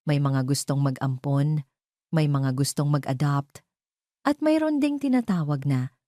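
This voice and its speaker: Audio drama villainess, done by a female narrator: smug socialite, falsely sweet, theatrical, mellow-toned, close dry recording. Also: sits low, lively intonation, unhurried, no room sound. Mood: gloating. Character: smug, venomous female socialite villain addressing party guests.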